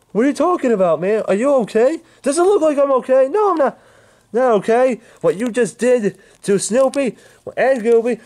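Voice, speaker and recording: A man voicing an angry, protective young man who yells and scolds, repeating himself. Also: very high-pitched, voice pushed up.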